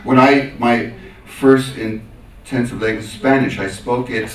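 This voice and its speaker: A man's voice: medium pitch, slow pace. A man from the United States giving a talk and answering audience questions, telling anecdotes and acting out the exchanges he quotes. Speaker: academic lecturer taking audience questions. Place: echoey lecture room at a conference. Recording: roomy echo, distant microphone.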